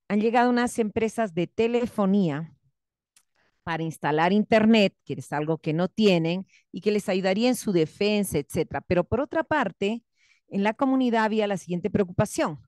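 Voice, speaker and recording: A woman's voice: mid-range voice, darkish tone, no room sound. A woman from Peru with a Peruvian accent, raising concrete problems directly as a seminar audience member. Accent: Peruvian Spanish. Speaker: audience questioner.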